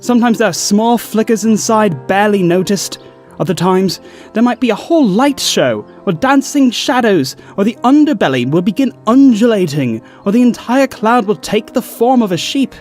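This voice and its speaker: A man's voice: high-pitched, animated, moderate pace, darkish tone, faint music behind. A man playing a dignified, skeptical town watchman in a fantasy audio drama, speaking formally and precisely. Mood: exasperated, intense. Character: dignified, skeptical male town watchman, weary obsessive cloud observer.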